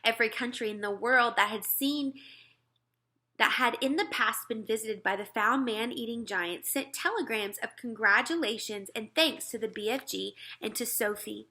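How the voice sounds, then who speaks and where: A woman reading a children's book aloud as scripted narration, expressive and clearly phrased. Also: fairly high pitch. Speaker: storybook reader.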